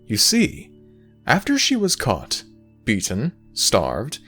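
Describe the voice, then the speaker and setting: A man playing an urbane, theatrical storytelling god in an audio roleplay, speaking grandly and deliberately with dramatic pauses. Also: medium pitch, wildly swooping pitch, fairly bright tone, faint music behind, exaggerated voice. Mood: somber.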